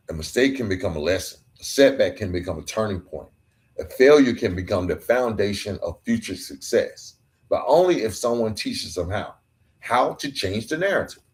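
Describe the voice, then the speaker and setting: A middle-aged man hosting his own podcast, delivering an earnest, emphatic monologue with rhetorical questions and a preacher-like rhythm. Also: on the low side. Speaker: podcast host and author. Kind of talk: reflective solo podcast monologue.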